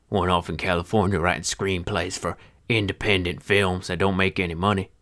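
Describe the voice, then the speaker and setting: A man voicing a bitter, foul-mouthed old male feed seller in his sixties, telling his tale with a deep voice, an old-time American Western twang and a sardonic, grumbling manner. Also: fairly bright tone, no room sound, studio quality. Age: 60s. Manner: grumbling, sardonic, swears freely. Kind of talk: first-person storytelling narration.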